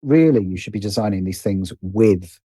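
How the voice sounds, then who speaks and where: A man's voice: lowish voice, expressive, moderate pace, dark tone, slightly rough, no room sound, clean studio sound. A British man speaking as a podcast guest in casual conversation, hesitant and thoughtful as he circles around his points. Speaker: podcast guest, writer and producer.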